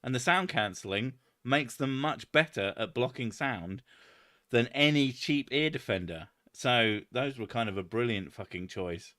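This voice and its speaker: A man hosting a casual chat, loud and booming, swearing freely as he answers viewer comments. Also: lively intonation, unhurried, dry recording, clean studio sound. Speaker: channel host.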